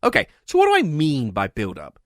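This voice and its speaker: A man narrates a scripted, comedic film critique with wildly animated intonation. He is sarcastic and exasperated, hammering his points and swearing freely. The recording is dry and close. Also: a little high, fairly quick, no room sound. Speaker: video essayist, film reviewer.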